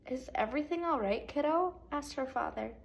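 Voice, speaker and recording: A woman teacher reading a children's picture book aloud in an expressive way, with casual asides to young pupils. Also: high-pitched, moderate pace, vocal fry. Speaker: second grade teacher.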